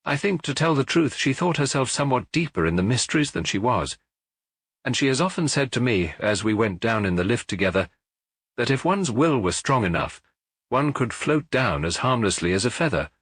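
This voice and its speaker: A man's voice: mid-range voice, very animated, normal speed, fairly bright tone, slightly rough, no room sound, full character voice. A male narrator playing a self-assured sun-cult prophet in an audiobook detective story, with lofty, declamatory delivery. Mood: solemn, smooth.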